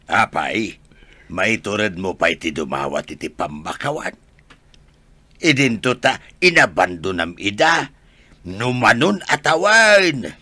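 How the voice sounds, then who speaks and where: A man playing a stern old father in a radio drama, rough-voiced, forceful and reproachful. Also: fairly low pitch, sing-song, slow pace, no room sound, heavily acted. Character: stern, scolding old father rebuking his son. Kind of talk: Ilocano radio drama acting.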